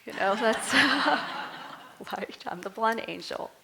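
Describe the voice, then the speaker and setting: A woman's voice: fairly high pitch, slow pace, gravelly, very noisy. Vietnamese-born woman preaching, chatty storytelling, jokes at herself, voice swoops up and down. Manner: animated storytelling with self-deprecating humor. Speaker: reverend giving a talk. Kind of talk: conversational sermon. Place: temple service.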